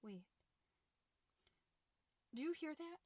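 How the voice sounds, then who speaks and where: A woman's voice: sits high, lively intonation, takes it slowly, a little mellow, no room sound. A woman playing a middle-aged, folksy, fretful suburban mom who hosts a confiding, rambling comedy podcast with anxious over-cheerfulness. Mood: anxious, hushed. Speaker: podcast host.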